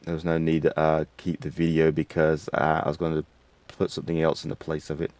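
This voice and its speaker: A man hosting a casual, unscripted software tutorial in a rambling, folksy way. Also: extremely low pitch, monotone, brisk, no echo. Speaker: tutorial host.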